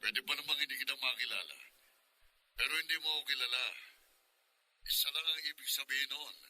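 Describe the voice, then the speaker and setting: Smug, bright-voiced taunting rival. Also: male voice, medium pitch, quick pace, poor audio. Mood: smug teasing. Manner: teasing, roundabout, smug. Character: taunting male rival claiming another man's wife. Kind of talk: radio drama acting.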